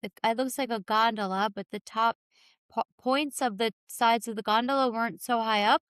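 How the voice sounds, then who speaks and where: A woman with a raspy voice, telling her own story candidly and informally as a podcast guest. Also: on the high side, steady tone, normal speed, a bit bright, no echo. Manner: informal, peppered with "like" and "you know".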